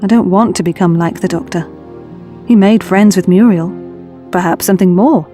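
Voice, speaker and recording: A woman's voice: lowish voice, normal speed, mellow, soft background music, lightly acted. A woman playing a caring, troubled young time traveller in an audio drama, earnest and confiding. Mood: regretful, earnest.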